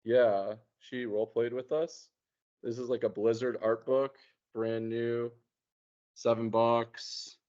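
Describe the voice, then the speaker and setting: A man in casual conversation, talking in a hesitant, halting way and often repeating words. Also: medium pitch, level tone, a little mellow. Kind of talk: casual chat. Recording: muffled, dull lo-fi sound.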